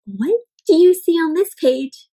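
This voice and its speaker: A woman reading a picture book aloud to young children, expressive and full of exclamations and questions to her listeners. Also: very high voice, unhurried. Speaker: children's read-aloud storyteller.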